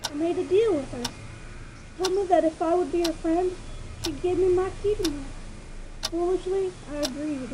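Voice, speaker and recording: A woman's voice: very high-pitched, normal speed. A woman voicing a frightened young filly, hesitant and childlike, in a dramatic fan fiction reading. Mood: guilty, scared. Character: frightened young filly confessing dark secrets. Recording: noisy home recording.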